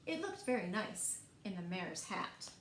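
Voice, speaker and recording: A woman reading picture books aloud as a storyteller, in an expressive, deliberate way meant for young children. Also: mid-range voice. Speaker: library storyteller. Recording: echoey room, faint background noise.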